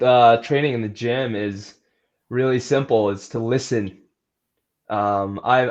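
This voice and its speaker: A man with an average-pitched voice talks casually as a coach being interviewed. He is earnest and conversational. The recording is slightly dull and lo-fi. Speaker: athletic trainer and strength coach being interviewed.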